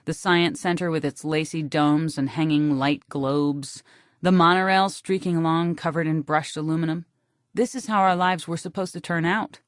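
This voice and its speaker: A woman with an American accent reads a literary first-person audiobook in a wry, deadpan manner, close on the microphone. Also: sits low, normal speed, no room sound. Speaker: audiobook narrator.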